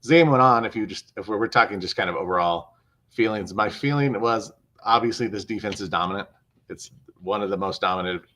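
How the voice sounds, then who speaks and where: A man with a fairly low voice gives casual sports analysis as a co-host on a video call. He is measured and explanatory, restating phrases to press his point. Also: pushed and bright.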